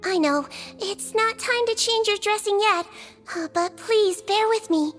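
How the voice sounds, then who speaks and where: A small, childlike head nurse in an anime game. Her voice is very high, sweet and gentle. She explains things politely and methodically over quiet music. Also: unhurried. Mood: calm, clinical.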